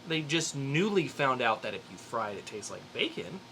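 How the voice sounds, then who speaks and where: A man's voice: medium pitch, big pitch swings, moderate pace, pushed and bright, slight background noise. A man co-hosting a podcast in a casual, chatty style. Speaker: podcast co-host. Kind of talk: casual chat with a quiz segment.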